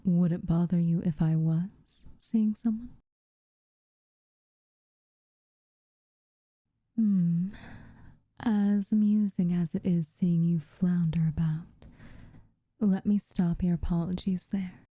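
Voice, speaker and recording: A woman playing an aloof, teasing but secretly caring witch in a soft, breathy, intimate ASMR roleplay. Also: lowish voice, steady tone, no echo. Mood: amused, teasing. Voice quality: soft, breathy, often near a whisper. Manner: unhurried, hushed, dryly teasing.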